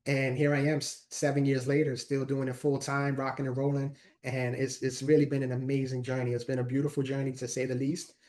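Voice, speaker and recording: A man's voice: mid-range voice, monotone, a little fast, few pauses, a little mellow. A man in his forties, a guest speaker telling his story in an earnest, repetitive way in a casual interview. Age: 40s. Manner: earnest, repeats words while talking. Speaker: guest, motivational speaker and author.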